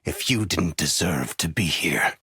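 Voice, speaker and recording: An actor playing a coaxing, eerily reassuring male doctor in a horror game, with a husky voice. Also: extremely low pitch, lively intonation, measured pace, a crowd in the background. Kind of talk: scripted game voice lines.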